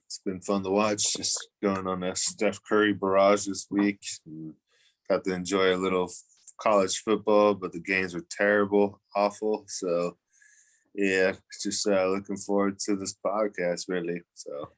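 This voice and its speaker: A man talks sports on a podcast in a measured, hesitant manner, often pausing on fillers. His voice sits on the low side. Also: little pitch movement. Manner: measured, hesitant, many uhs. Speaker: podcast co-host. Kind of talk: casual sports podcast chat.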